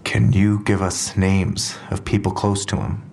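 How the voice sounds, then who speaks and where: Sober detective for audio drama: low register, procedural, patient questioning. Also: male voice, flat delivery, unhurried, creaky, a little mellow, slight background noise. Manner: formal, procedural, restrained. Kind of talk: full-cast audio drama acting.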